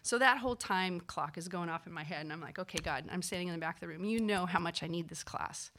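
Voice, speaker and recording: A woman, a physician assistant, telling a personal story in a casual, animated way, acting out the people she quotes, with lots of fillers. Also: lowish voice, fast.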